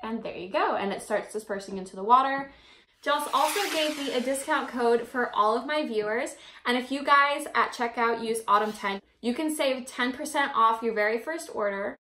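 A woman's voice: fairly high pitch, normal speed, bright, forceful tone, a little hiss or hum. A woman in her twenties with an American accent, narrating a casual home vlog in a chatty, upbeat manner. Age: 20s. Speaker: home vlogger.

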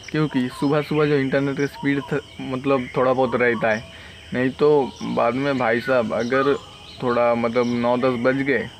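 Young man, noisy outdoor recording. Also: mid-range voice. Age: young adult. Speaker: vlogger. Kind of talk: casual selfie vlog chat. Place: outdoors in a rural village. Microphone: phone at arm's length. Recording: noisy outdoor phone recording, insects, vehicles.

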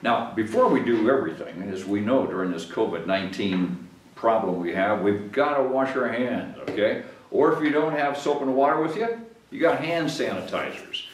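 A man's voice: mid-range voice, moderate pace, a little hiss or hum. A man in his sixties reads a picture book aloud to children expressively, speaking simply to them in a small room. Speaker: mayor reading at a library children's story time. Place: small meeting room with whiteboard, at a table. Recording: roomy, echoing indoor sound.

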